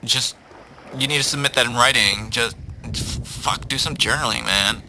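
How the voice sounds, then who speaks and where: A blunt office receptionist a man acting as a woman who is tired of everyone's problems. She sounds dismissive and hesitant, with a sharp, bright tone in this comic story. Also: mid-range voice, normal speed, slight background noise, low-quality recording. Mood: dismissive, weary. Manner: flat, dismissive, hesitant customer-service talk with ums. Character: blunt, unimpressed, weary female office receptionist.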